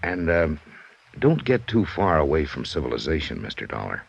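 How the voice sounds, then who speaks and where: A skeptical American police lieutenant for vintage radio crime drama. The voice is deep, dry and plain-spoken, with wide rises and falls. The recording is muffled with age. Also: male voice, huge pitch swings, moderate pace, creaky, breathy edge, full character voice. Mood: dry, wry.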